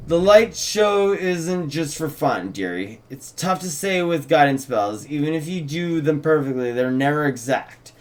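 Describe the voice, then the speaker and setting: A man puts on a doting old-soul witch for a script reading. The delivery is dry and knowing, pitched a little high for a male voice. Endearments come out fond and faintly patronizing. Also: expressive, unhurried, hardly any pauses. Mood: knowing, gently patronizing.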